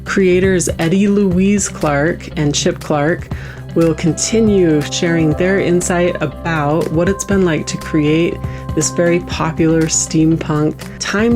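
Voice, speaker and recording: A woman with a distinctly low-pitched voice presents an interview podcast. She speaks with enthusiasm as host, with soft music underneath.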